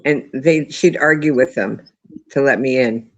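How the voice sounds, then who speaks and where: A woman's voice: extremely low pitch, unhurried, rough recording. A woman hosting a casual online chat from home, telling stories with lots of laughter. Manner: animated storytelling, laughs often.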